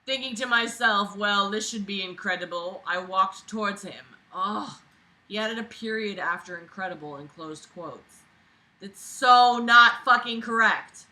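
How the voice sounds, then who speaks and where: A woman with a very bright, forceful voice reads a book aloud and picks it apart as she goes. She is sarcastic and openly exasperated, swearing freely and breaking off into theatrical, scornful asides. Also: mid-range voice, measured pace, rough recording. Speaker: reader reviewing a book aloud. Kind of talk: reading a book aloud with exasperated running commentary.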